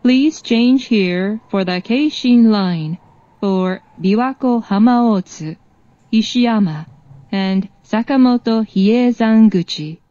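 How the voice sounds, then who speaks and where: A woman reading measured, formal scripted transfer announcements as an automated train voice. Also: medium pitch, dark tone, dry recording. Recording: dull, muffled announcement recording.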